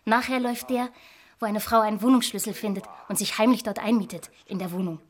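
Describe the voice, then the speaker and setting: A woman's voice: medium pitch, fast, natural voice. Radio drama: restless young dreamer, played by a woman, conversational and musing, mid-range voice. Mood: dreamy, chatty. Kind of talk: radio drama monologue and dialogue.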